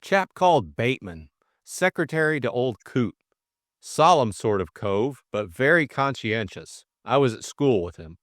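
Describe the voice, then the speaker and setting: A man voicing a breezy, reasoning young gentleman sleuth in an audiobook. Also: medium pitch, very animated, a little slow, no echo, clean studio sound. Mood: casual. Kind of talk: audiobook character dialogue.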